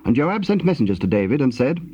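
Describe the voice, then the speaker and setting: A man with a husky voice narrating scripture in a solemn, scripted style. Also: medium pitch, big pitch swings, quick pace, dark tone. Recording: dull, lo-fi tape sound.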